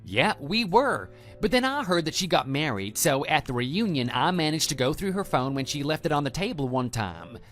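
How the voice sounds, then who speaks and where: A man's voice: on the high side, very animated, normal speed, few pauses, fairly bright tone. A man voicing a brash, evasive young former student in a scripted audio drama, with a flippant, defensive manner. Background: background music.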